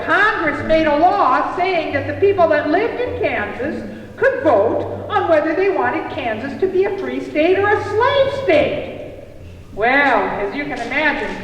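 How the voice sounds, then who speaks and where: A woman playing a kindly motherly cafe keeper in a live puppet show, telling tales in a deliberate, expressive way. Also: high-pitched, a little hiss or hum. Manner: deliberate, expressive storytelling to children. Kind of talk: live storytelling puppet theatre. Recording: echoey hall from a distance, music behind.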